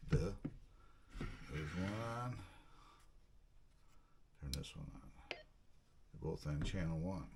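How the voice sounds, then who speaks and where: A man giving a casual, halting hands-on unboxing commentary, muttering to himself as he works. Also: a bass voice, a little mellow. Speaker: video host, product unboxer. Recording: clear background noise, handling clicks.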